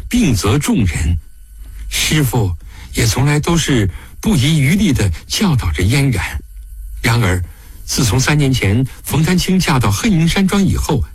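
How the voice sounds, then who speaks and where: A man narrating an audiobook, voicing a sorrowful, devoted young woman prisoner who speaks softly, haltingly and pleadingly. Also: mid-range voice, slightly rough, dry recording, full character voice.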